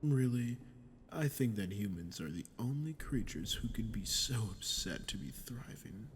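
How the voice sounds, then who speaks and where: A man playing a sadistic, smug archdemon in an ASMR roleplay, talking down to the listener with slow, mocking condescension. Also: medium pitch, lively intonation, a little hiss or hum, lightly acted. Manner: mocking, condescending, slow taunting delivery with breathy drops. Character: sadistic, smug male archdemon toying with a captive ruler. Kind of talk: ASMR audio roleplay, dramatic monologue to the listener.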